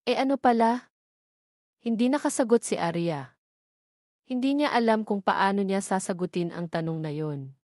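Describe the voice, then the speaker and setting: A woman tells a romance story in scripted, dramatic narration. Her voice sits at a medium pitch. The sound is dry, with little room to it. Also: normal speed, darkish tone, no echo.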